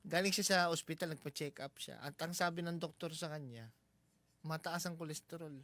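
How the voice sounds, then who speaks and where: A man with a Filipino accent, co-hosting a radio show with excitable, joking interjections and banter. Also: a little high, brisk, no room sound. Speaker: radio co-host. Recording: music playing behind the voice. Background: music bed.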